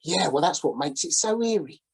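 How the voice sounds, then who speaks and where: A woman's voice: low-pitched, lively intonation, normal speed, vocal fry, slightly rough, full character voice. Woman doing a wheedling, shifty old sailor. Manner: wheedling, salesman-like. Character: shifty male sailor hawking his own drowning.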